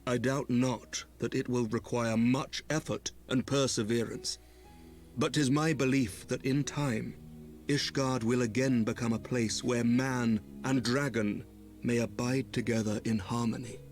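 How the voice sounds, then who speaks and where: An actor playing a noble, earnest leader in a fantasy video game, speaking formally and eloquently. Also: male voice, medium pitch, fairly even intonation, a little slow, soft background music, close to an everyday voice. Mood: earnest, penitent.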